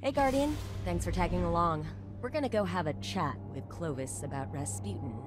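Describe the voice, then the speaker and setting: A woman's voice: fairly low pitch, expressive, normal speed, over music, close to an everyday voice. A woman playing a determined, wry and guarded scientist-adventurer in a video game, speaking conversationally. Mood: brisk, alert.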